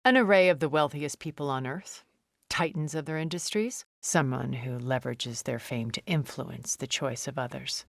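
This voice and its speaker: An actress playing a poised, cultured, wealthy woman in a video game, speaking in a measured, formal, refined way. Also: rumbling low, creaky, no echo, clean studio sound, full character voice. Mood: cool, explanatory. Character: poised, cultured, wealthy woman, a thousand years old, sounding middle-aged. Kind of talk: video game character dialogue.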